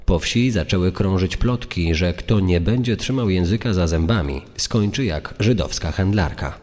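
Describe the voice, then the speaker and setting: A man's voice: low voice, moderate pace, darkish tone. A man giving a scripted, dramatic reading as narrator of a true-crime story. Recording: long reverb over music.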